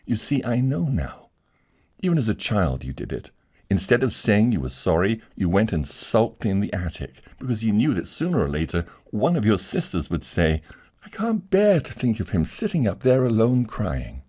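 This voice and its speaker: A man voicing a gentle, loving woman who pleads earnestly and urgently. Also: lowish voice, huge pitch swings, moderate pace, breathy edge, pitched above the performer's own voice, exaggerated voice. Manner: earnest, imploring.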